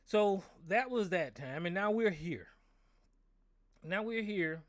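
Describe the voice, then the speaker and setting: A middle-aged American man with a hoarse, somewhat high voice rants with anger and plenty of profanity. He hosts a call-in talk show and keeps circling back over the same points, stumbling on his words. The sound is close and dry. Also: lively intonation, takes it slowly, no echo. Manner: angry, profane, repetitive. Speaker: show host. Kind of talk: political rant on a call-in show.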